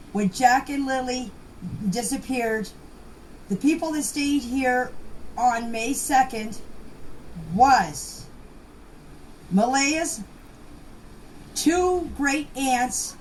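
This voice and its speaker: A middle-aged or older woman giving an informal personal account in a halting, plain-spoken, insistent manner. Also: high voice, poor audio. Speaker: private person giving her account in voice clips. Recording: amateur voice clips with background noise.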